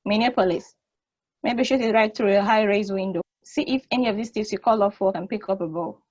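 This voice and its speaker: A woman's voice: medium pitch, brisk, a little mellow, dull audio, close to an everyday voice. A woman playing a wry, bossy space probe in a stage play table read. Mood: wry, casual.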